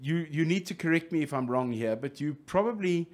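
A middle-aged man with a South African accent hosting a radio interview, jokey and lively, often restarting his sentences. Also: a little high, a little fast. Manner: jokey, lively, restarts a lot, plugs the station. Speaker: radio host.

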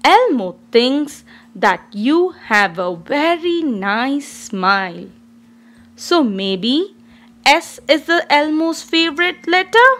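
A woman reading a children's storybook aloud in an expressive, deliberate, child-directed way. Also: sits high. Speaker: storybook reader.

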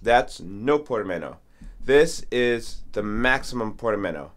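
A man's voice: mid-range voice, animated, unhurried, fairly bright tone, soft background music. A man in his thirties hosting an informal instructional tutorial, talking straight to viewers from a small studio. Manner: informal, addresses viewers directly. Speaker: music tutorial host, musician. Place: small home studio with acoustic foam. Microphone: studio condenser mic with pop filter.

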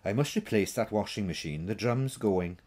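A man's voice: fairly low pitch, lively intonation, normal speed, a little mellow. A man voicing a practical, teasing witch woman in a storybook, with a blunt, wry, no-nonsense manner. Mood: matter-of-fact. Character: brisk, practical, teasing witch woman, sharp-tongued friend.

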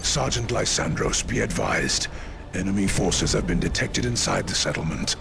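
A man acting a battle-pressed soldier in a video game, giving urgent, terse military reports in a gravelly voice. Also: sits low, moderate pace, noisy background. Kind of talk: scripted game dialogue.

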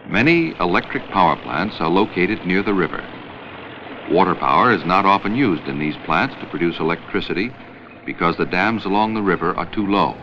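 Muffled 1970 film soundtrack, American man, low voice, animated intonation, measured instructional narration. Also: background noise. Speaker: documentary narrator. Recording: dull vintage 16mm film soundtrack, music.

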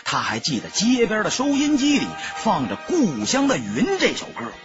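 A man narrating an audiobook in a dramatic storytelling style, with a husky, raspy voice. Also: high voice, wildly swooping pitch, moderate pace, highs cut off, music in the background.

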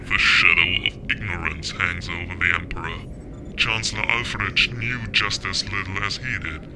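An actor playing a solemn, scheming political insider in a science fiction audio drama, grave and deliberate. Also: male voice, low voice, steady tone, noisy background. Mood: conspiratorial.

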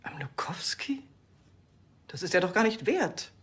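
An actress playing a bitter, curt abandoned wife of about sixty in a TV crime series. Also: female voice, on the low side, big pitch swings, measured pace, fairly bright tone, slightly rough, exaggerated voice. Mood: resentful, scornful. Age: around 60.

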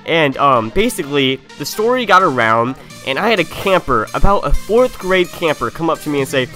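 A man's voice: a little high, very animated, moderate pace, pushed and bright, faint music behind. A young man, a game streamer, tells a story in a casual, slangy way, acting out dialogue as he goes, on a close mic. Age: young adult. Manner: informal, slangy, acts out dialogue. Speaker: streamer telling a story over gameplay. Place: indoor room at a gaming setup.

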